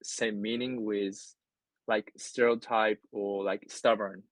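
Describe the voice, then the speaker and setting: A man from Japan with a Japanese accent, chatting casually as an English learner, halting and repeating words as he searches for phrasing. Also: fairly low pitch, level tone, no room sound. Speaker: English learner in an online lesson. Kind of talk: casual conversation practice in English.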